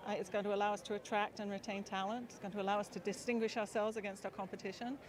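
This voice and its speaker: A woman's voice: medium pitch, level tone, very fast. An older woman from England with an English accent, confidently answering a sideline interview as a university president. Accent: English, London area. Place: outdoors at a football stadium. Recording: stadium noise behind.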